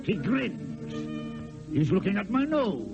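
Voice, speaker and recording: Proud dying swordsman-poet, slow declamatory delivery, old radio drama, dull lo-fi sound. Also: high voice, very animated, gravelly, loud music behind the voice, heavily acted. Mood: defiant, dying. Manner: theatrical, declamatory, poetic, drawn-out phrases. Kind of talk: old-time radio play acting.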